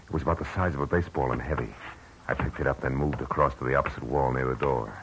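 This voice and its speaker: A man's voice: extremely low pitch, fairly even intonation, quick pace, a bit bright, slight background noise, poor audio. An actor playing a hard-boiled male private eye in a 1940s radio drama, clipped and sardonic, who also narrates. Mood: narrating. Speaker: narrator and lead.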